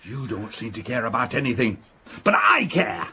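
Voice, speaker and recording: An actor playing a self-important older father in a radio drama, blustering and scolding in a rough voice. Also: mid-range voice, sing-song, moderate pace, pushed and bright, full character voice. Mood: indignant scolding.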